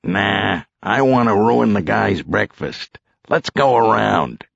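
A man voicing a brash, gruff police boss with a commanding, sardonic manner in an audiobook. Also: lowish voice, animated, slow pace, dry recording, dull audio, voice pushed up, exaggerated voice.

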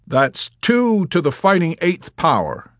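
A man voicing a brash, excitable wartime airman in an audiobook, exclamatory and emphatic. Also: a little high, takes it slowly, dry recording, studio quality, voice pushed up, heavily acted. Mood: ecstatic.

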